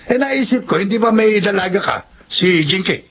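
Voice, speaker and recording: A man's voice: sits high, lively intonation, normal speed, a bit bright. A middle-aged man playing a hearty, persuasive village drinking buddy in a radio drama. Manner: hearty, coaxing. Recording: muffled, faintly noisy drama audio.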